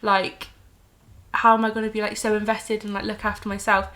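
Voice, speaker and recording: A woman's voice: mid-range voice, little pitch movement, fairly quick, bright, forceful tone. A woman, chatting casually as a guest and filling her talk with "like". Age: 20s. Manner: chatty, lots of "like" fillers. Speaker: guest, host's sister. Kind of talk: casual chat.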